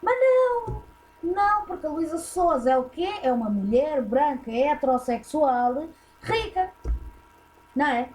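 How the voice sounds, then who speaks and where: A woman's voice: sits high, very animated, measured pace, darkish tone, rough recording. A woman with a European Portuguese accent, hosting a casual reaction video and giving blunt, opinionated commentary full of fillers.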